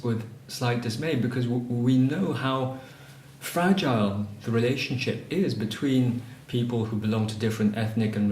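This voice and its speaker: A man's voice: medium pitch, darkish tone, a little hiss or hum. A man from Malaysia answering interview questions about his novels, thoughtful and hesitant, with many ums and restarts. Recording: echoey room, faint ambience.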